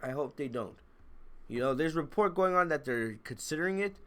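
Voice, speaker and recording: A man's voice: on the high side, lively intonation, fairly quick, a bit bright. Guy with a stuffy cold doing a live wrestling review, chatty, opinionated, kinda blunt. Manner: opinionated, blunt, emphatic, mildly profane. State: congested, sick with a cold. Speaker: wrestling review livestream host. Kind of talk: casual live commentary, chatting to viewers.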